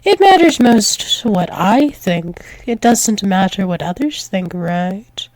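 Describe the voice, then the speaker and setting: A woman's voice: medium pitch, animated, darkish tone, dry recording. A woman playing a dominant, doting female werewolf demon lover in an intimate ASMR roleplay, teasing and coaxing. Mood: doting, possessive. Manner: teasing, coaxing, slow repeated praise, often dropping to whispers.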